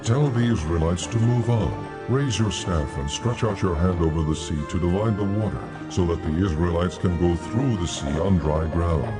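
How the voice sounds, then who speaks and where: A man voicing a very deep, commanding God. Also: natural voice. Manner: grave, authoritative, measured. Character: God, solemn commanding almighty male deity. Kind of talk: dramatized scripture audio drama. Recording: dramatic music behind voice.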